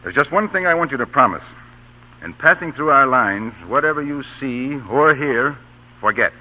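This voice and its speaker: An actor playing a measured, authoritative Union colonel, stern yet kindly, in old radio drama style. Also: male voice, mid-range voice, lively intonation, lightly acted. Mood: grave sympathy. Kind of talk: 1930s-1940s radio acting. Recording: tinny, hissy old radio broadcast.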